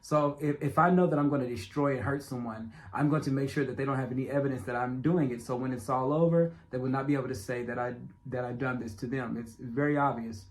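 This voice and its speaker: A man's voice: medium pitch, fairly even intonation, quick pace, hardly any pauses. Stuttering man talking straight to viewers. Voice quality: stutter, speech impediment. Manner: repetitive, emphatic, talks straight to viewers. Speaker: self-made documentary narrator. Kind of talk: casual direct-address monologue.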